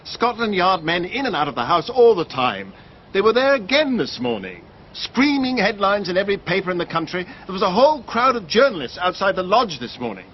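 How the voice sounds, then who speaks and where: An actor playing an anxious upper-class English country gentleman in a radio drama, well-spoken, agitated and fretful. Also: high-pitched, big pitch swings, brisk, dull audio, slight background noise. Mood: anguished, fretful.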